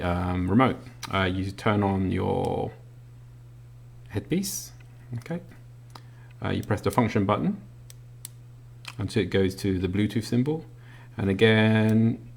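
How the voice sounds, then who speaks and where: A man reviewing a product in a casual hands-on demonstration, explaining it step by step in a halting way. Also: deep voice, vocal fry. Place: indoors at a work desk.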